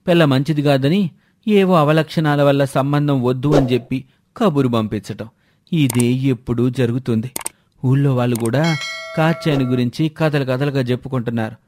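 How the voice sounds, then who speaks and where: A man's voice: mid-range voice, darkish tone, close to an everyday voice. Man playing a worried, cautious village friend. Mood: confiding. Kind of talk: dramatised moral story dialogue.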